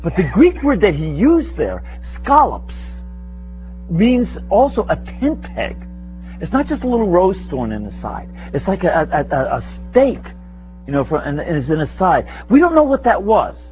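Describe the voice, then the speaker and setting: A man in his sixties leads an informal spiritual talk before a room of listeners. His pitch rises and falls in a lively, animated way, and he rambles with self-deprecating chuckles. The recording is slightly dull, with faint music underneath. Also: moderate pace. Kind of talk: informal spiritual teaching with audience questions. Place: meeting room with a live audience.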